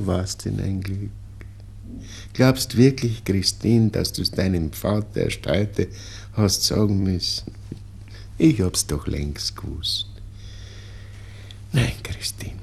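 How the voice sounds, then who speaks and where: An anxious old Viennese father in a 1960s radio play. The voice is low and gravelly, swinging widely in pitch. He pleads slowly and tenderly, stumbling and repeating himself. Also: animated, a little mellow, full character voice. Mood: pleading, consoling. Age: older. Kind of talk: 1960s German-language radio drama acting.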